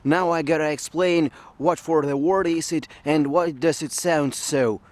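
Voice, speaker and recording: A man speaking English as a second language, explaining a science topic slowly with frequent pauses and fillers. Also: fairly high pitch, fairly even intonation, darkish tone, no room sound. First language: not English. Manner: slow, hesitant, with frequent fillers. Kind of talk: unscripted educational explanation.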